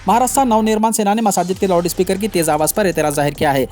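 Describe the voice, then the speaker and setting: High-pitched, fast male news reader. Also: darkish tone, a little hiss or hum. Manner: formal, headline-then-story delivery. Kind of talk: scripted news narration.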